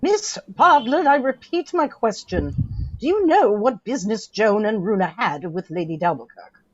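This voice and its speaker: A woman's voice: mid-range voice, expressive, moderate pace, slightly rough, no echo. A woman playing a formal, insistent coroner in a radio drama, on slightly dull audio. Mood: stern. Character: formal, persistent coroner questioning witnesses.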